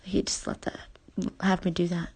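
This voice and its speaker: A woman telling personal memories in an intimate, halting, confessional way, her breathy voice often thinning toward a near-whisper. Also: on the low side, little pitch movement, a little mellow. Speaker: personal storyteller addressing a former partner. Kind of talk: intimate spoken memoir, unscripted.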